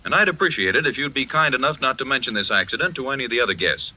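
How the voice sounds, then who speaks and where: An actor playing an officious, defensive society host in an old radio mystery, speaking in a brisk, insistent way. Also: male voice, mid-range voice, expressive, pushed and bright, rough recording. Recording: old radio recording, faint noise.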